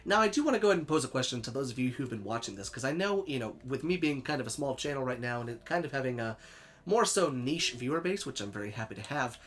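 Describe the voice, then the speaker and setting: A man's voice: mid-range voice, animated, fast talker, fairly bright tone. A young man hosting a casual gaming let's play, chatty and upbeat, laughing easily, sounding a bit stuffy and sniffly from a cold. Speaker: gaming channel host. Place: home in Sweden.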